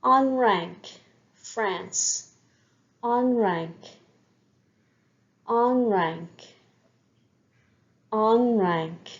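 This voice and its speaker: Woman language teacher, unhurried repetition drills, dull lo-fi sound. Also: mid-range voice. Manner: says each word several times slowly for learners to repeat. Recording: dull lo-fi recording.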